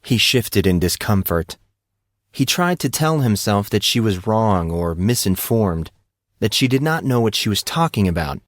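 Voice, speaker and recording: A man's voice: lowish voice, sing-song, a little slow, no echo, clean studio sound. A man reading fiction aloud as a dramatic scripted narration. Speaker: audiobook narrator.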